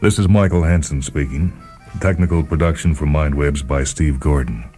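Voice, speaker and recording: An American man reading a scripted short story on radio, with a slow, measured, grave and deliberate delivery. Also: rumbling low, mellow. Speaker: radio host and story reader. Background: music.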